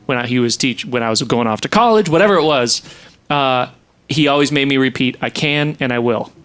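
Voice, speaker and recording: A man's voice: mid-range voice, fairly quick, fairly bright tone, clear, resonant tone. A middle-aged man co-hosting a chat in a radio studio, talking eagerly and chattily into a desk microphone. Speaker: co-host. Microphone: studio desk mic.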